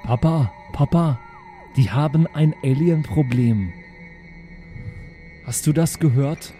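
A naive alien youngster, played by a man, rather high in pitch but soft and mellow in tone. The character sounds hesitant and childlike, always questioning, over faint music in an audio comedy. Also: slow. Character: naive, slow-witted alien child, devoted to papa. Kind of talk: improvised audio drama acting.

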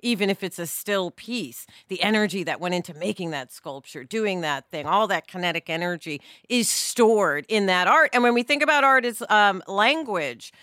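A woman's voice: mid-range voice, animated, normal speed, hardly any pauses, bright, forceful tone, no room sound, studio quality. A woman co-hosting a podcast in casual, personal discussion, speaking with emphatic enthusiasm. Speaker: podcast co-host.

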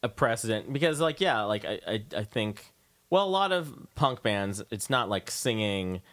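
A man with a fairly low voice, recorded close and dry, hosts a casual interview. He feels his way through questions with loose, tentative phrasing. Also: lively intonation, normal speed, fairly bright tone, no echo. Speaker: radio show host, interviewer.

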